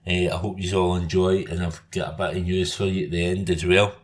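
A man hosting a podcast, talking in a relaxed, informal way with local words. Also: sits low, a little fast. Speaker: podcast host. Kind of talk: podcast intro and interview.

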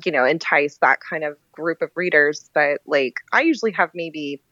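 A woman's voice: low voice, moderate pace, bright, forceful tone, no echo, highs cut off. A woman in a casual podcast chat as a guest author, talking informally with plenty of "like" and "you know". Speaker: guest novelist.

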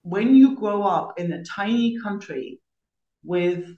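A woman's voice: medium pitch, slow pace, mellow, highs cut off. Echoey middle-aged East woman. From East Germany. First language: German. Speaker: podcast guest. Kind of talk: conversational personal storytelling. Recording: roomy, echoey sound.

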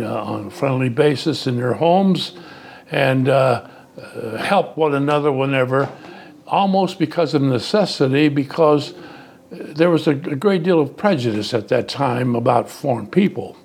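A man in his nineties, a native Italian speaker, recalls his childhood in a halting, measured way while being interviewed at home. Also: mid-range voice, creaky, a little mellow, a little hiss or hum. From Youngstown, Ohio. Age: 90s. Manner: halting, searching for words, measured. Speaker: interview guest.

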